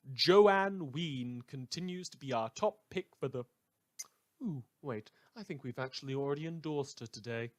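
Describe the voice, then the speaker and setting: A man performs a snide, excitable small-town radio host in an audio drama. His voice sits a little high for a man. He delivers mock news with theatrical sarcasm, shifting between low asides and loud emphasis. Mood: flustered aside. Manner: sardonic, theatrical, swinging from muttered asides to outbursts.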